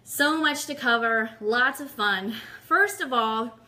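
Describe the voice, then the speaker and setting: A woman with a very bright, forward voice sits a little high in pitch. She hosts a live online radio show for music fans in an upbeat, informal way. Her delivery moves between friendly chat with viewers and announcements. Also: slow, rough recording.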